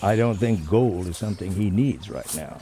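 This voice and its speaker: A man's voice: sits low, normal speed, dark tone, a little hiss or hum, natural voice. An actor playing an easygoing, teasing sidekick to a policeman in a radio play, with an informal delivery. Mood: questioning, matter-of-fact.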